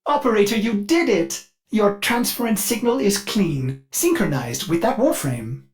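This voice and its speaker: A man's voice: sits high, lively intonation, unhurried. A man voicing a devoted, anxious ship AI in a video game, speaking in a formal, theatrical and solicitous way. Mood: excited. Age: older. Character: fussy, devoted ship AI, eager, anxious, glitchy servant. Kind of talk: scripted video game voice acting.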